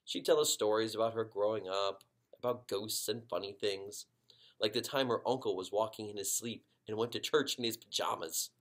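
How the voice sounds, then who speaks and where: A man plays a storybook father, easygoing but a little bossy. He talks in a folksy, emphatic way, lifted a bit above a low natural register. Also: steady tone, moderate pace, fairly bright tone, natural voice. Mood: nostalgic, amused. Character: easygoing family dad, chuckling storyteller, gently bossy.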